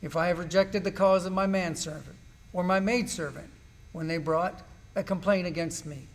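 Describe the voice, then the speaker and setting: A man performs a suffering, righteous man from a Bible drama. His voice sits fairly high for a male, and he declaims his grievance and innocence in solemn, measured phrases. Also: fairly even intonation. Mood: solemn oath. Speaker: amateur actor in a church class drama. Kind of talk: dramatized scripture recitation.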